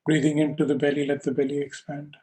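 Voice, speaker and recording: A man teaching yoga and guiding a meditation in slow, calm, measured short phrases with pauses between them. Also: fairly high pitch. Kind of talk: slow guided meditation instruction.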